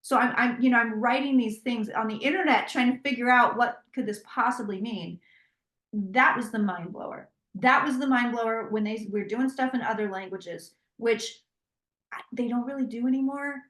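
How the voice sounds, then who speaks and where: A woman with a fairly high voice tells stories in an animated, chatty way, often restarting her sentences. She sounds like she is in a roomy, echoey space. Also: normal speed. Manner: animated storytelling with many restarts. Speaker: psychic tarot reader. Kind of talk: interview conversation.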